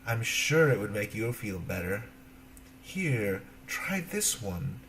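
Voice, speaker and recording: A man's voice: lowish voice, very animated, slow, noisy background. A man voicing a wicked queen disguised as a sly old beggar woman in a storybook, coaxing and falsely sweet. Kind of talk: storybook character voice.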